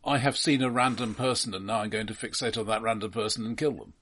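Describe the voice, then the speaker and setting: A man co-hosting a film podcast, talking casually and analytically in a halting way. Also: lowish voice, fairly even intonation, a bit bright.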